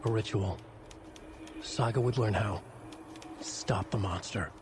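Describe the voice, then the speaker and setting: A man's voice: lowish voice, slow, heavy background noise. A man playing a brooding narrator who reads ominous thriller prose in a video game. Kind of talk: dramatic scripted narration.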